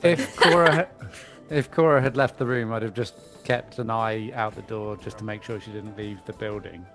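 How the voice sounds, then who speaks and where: Male player talking over clear music. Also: on the low side, steady tone, moderate pace, fairly bright tone. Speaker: player in a tabletop game. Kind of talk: casual table chat, describing his character's reactions. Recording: music playing behind the voice.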